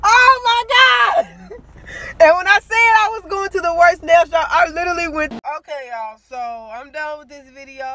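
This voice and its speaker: A young woman, ranting indignantly to her phone camera from inside a parked car. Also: high voice. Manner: indignant, animated complaining. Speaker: beauty vlogger. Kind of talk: casual vlog rant to camera. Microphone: phone camera at arm's length.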